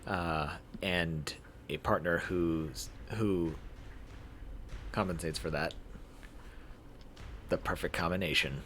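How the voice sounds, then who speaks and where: A man's voice: low-pitched, steady tone, takes it slowly, pauses often, sharp tone. A man narrating a visual novel aloud as a streamer, stumbling over words and rereading them. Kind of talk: live read-aloud narration of game text. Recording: clear background noise.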